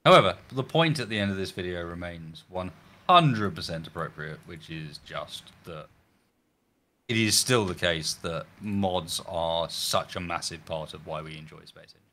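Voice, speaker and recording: Deep, bright-toned man chatting on voice chat. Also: normal speed. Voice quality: croaky. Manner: rambling, animated, self-correcting. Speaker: gaming video host. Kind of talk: casual voice chat with friends.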